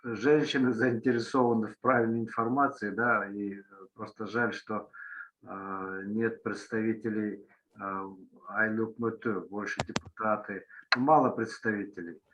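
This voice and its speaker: A man making a halting comment with many pauses in an online meeting. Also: fairly low pitch, fairly even intonation, fairly bright tone, poor audio. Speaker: participant. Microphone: video call audio.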